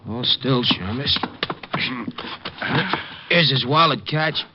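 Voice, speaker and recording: An actor playing a dim-witted pug-nosed thug who talks slow and slangy in an old radio drama. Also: male voice, mid-range voice, natural voice. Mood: dopey. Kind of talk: 1940s radio acting. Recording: dull lo-fi old radio recording with faint hiss.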